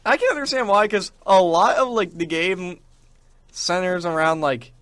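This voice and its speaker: A man's voice: high-pitched, normal speed, fairly bright tone, clean tone, no room sound. A man hosting a show with casual, rambling chat about games and internet culture, acting out imagined quotes as he goes. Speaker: show host. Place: small radio studio.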